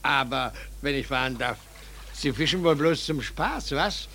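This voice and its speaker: A man's voice: mid-range voice, a little slow, bright, forceful tone, a little hiss or hum. An actor playing a good-natured, slightly puzzled Spanish policeman in a 1940s German radio play, courteous, hesitant and given to repeating himself. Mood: affable, curious.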